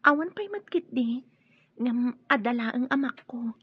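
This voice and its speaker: A woman's voice: a little high, expressive, unhurried, slightly rough, no room sound, heavily acted. A woman playing a wary, fearful young woman torn by a family feud in a radio drama. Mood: uneasy. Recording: muffled, lo-fi radio drama audio.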